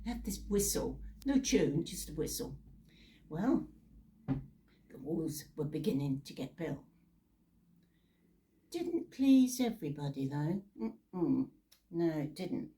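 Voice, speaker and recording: A woman's voice: sits low, sing-song, slow, long pauses, mellow. A British woman telling Bible stories in a chatty, informal way, with theatrical asides and rhetorical questions. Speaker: storyteller.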